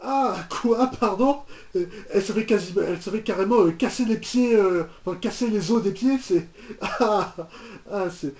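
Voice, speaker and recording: High-voiced young man reacting, halting and incredulous. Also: crowd noise. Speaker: reaction video host. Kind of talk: casual reaction commentary.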